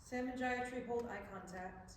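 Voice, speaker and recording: A woman's voice: on the high side, moderate pace. A woman reading stage directions as scripted narration. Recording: very echoey, distant mic, background noise.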